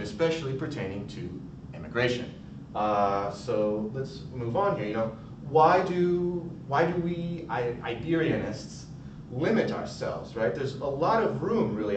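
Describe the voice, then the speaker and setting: Distant echoey young man giving a talk. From United States. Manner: casual, chatty, many fillers and restarts. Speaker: academic conference speaker. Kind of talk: recorded conference talk. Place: college room. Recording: distant, echoey, dull, noisy rumble.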